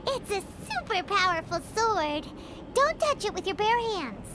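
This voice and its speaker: A woman's voice: big pitch swings, unhurried, very noisy, heavily acted. A woman voicing a tiny, cheeky female fairy companion in a video game, teasing and blunt. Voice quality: high, squeaky, childlike. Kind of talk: video game cutscene dialogue. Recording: game audio with loud music behind.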